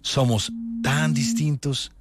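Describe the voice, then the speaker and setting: A man's voice: mid-range voice, fairly even intonation, slow pace, background noise. A man hosting a radio show, joking and acting out little quotes as he chats. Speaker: radio host. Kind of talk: casual radio chat.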